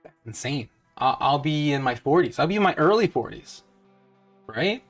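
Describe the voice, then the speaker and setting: A man's voice: fairly high pitch, animated, moderate pace, soft background music. A man in his thirties hosting a crypto channel. He walks through a retirement calculator in a loose, rambling way, with many fillers and restarts, and he sounds sure of his views. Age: 30s. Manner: upbeat and certain in his views. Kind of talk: casual talk over a retirement calculator.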